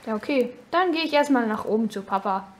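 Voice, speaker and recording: A woman's voice: a little high, animated, a little fast, lightly acted. A young female speaker voicing a cheerful thirty-three-year-old housewife who hosts a family vlog in a homemade toy-figure film. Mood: chatty cheerful. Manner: chatty, casual tour-guide talk. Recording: roomy echo.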